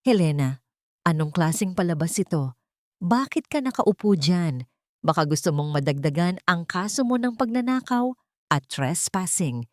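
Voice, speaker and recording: Woman voicing an arrogant, deceitful husband, low-pitched. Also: animated, moderate pace, no echo, studio quality, natural voice. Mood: indignant, threatening. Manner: wheedling, scornful.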